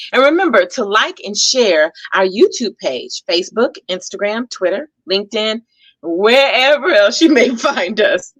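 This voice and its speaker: A woman with a fairly high, very animated voice hosts a casual talk show. She is chatty and excitable, quick to laugh, and addresses the audience directly with upbeat announcements. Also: no room sound, rough recording.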